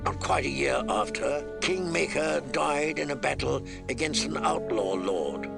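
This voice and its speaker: A man's voice: medium pitch, expressive, measured pace, vocal fry, over music. A man reading a novel aloud as a dramatic storyteller, giving the characters voices. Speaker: audiobook narrator.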